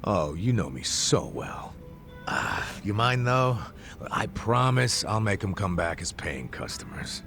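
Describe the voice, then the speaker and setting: An actor with an American accent playing a gruff, boisterous, good-humored older ex-cop in a video game, with brash, colloquial delivery. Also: male voice, fairly low pitch, very animated, a little slow, a bit bright, soft background music, full character voice. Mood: friendly, cajoling. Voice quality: gravelly. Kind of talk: dubbed video game cutscene acting.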